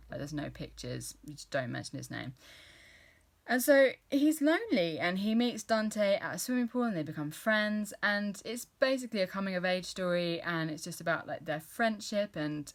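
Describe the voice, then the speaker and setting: British woman, 30s, rambly chatty book talk, slightly low voice, lots of ums. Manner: chatty, rambling, enthusiastic with frequent fillers. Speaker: book vlogger. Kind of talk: casual book review chat.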